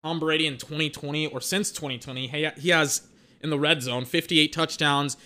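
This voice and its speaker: A young man with a bright, ringing voice sitting slightly high calls a football game live from his desk. He talks fast and loose, full of filler words, breaking off to react to big plays. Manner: excitable, peppered with filler words and mock voices. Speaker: watch-along football commentator. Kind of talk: casual live play-by-play and analysis.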